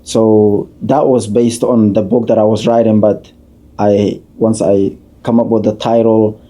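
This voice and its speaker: A man, a community leader answering questions as a podcast guest in an unhurried, halting way. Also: fairly low pitch, level tone, mellow. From Somalia, raised in Kenya. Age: 30s. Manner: unhurried, halting, with many uh fillers and restarts.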